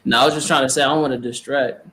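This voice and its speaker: A man hosts a casual group video chat with loose, playful, slangy talk. His pitch is mid-range for a man. The call carries clear background noise. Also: steady tone, rapid-fire, fairly bright tone. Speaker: host of an online debate tournament. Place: multi-person video call.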